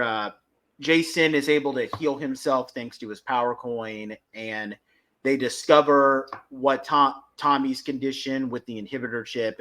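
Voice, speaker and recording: A man's voice: medium pitch, fairly bright tone. A man hosting a fan podcast, casually recapping and reviewing episodes with enthusiasm. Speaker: podcast host.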